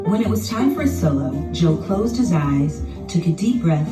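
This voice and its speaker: A woman's voice: lowish voice, animated, moderate pace. A woman reading scripted children's story narration as a storybook narrator. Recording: roomy, distant playback with music behind.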